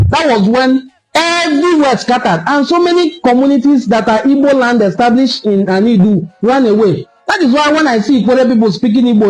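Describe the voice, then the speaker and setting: A Nigerian man giving an impassioned solo commentary, lecturing forcefully and repeating points for emphasis. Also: very high voice, poor audio. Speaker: online cultural commentator.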